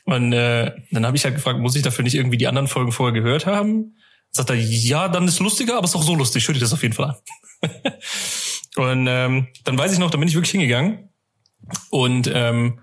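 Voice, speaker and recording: A man's voice: mid-range voice, brisk, breathy edge. A man hosting a podcast in an informal, colloquial chat with a jokey manner. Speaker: podcast host. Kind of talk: casual chat.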